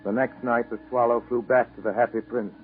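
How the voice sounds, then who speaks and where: A man giving scripted storybook narration in measured phrases, in the style of 1940s radio drama. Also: mid-range voice, level tone, narrow, tinny audio, close to an everyday voice. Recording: 1940s radio broadcast over music.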